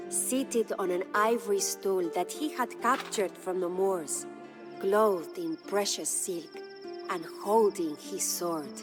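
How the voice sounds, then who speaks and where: A woman's voice: mid-range voice, lightly acted. An actress playing a proud, grieving medieval Spanish noblewoman who narrates her story in a solemn, stately, formal way for a video game. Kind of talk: dramatic scripted storytelling. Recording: studio narration over prominent music.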